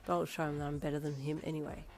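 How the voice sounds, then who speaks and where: A woman voicing a gruff, grumpy young male athlete who answers in low, clipped replies. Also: level tone, racing, a little mellow, soft background music, close to an everyday voice.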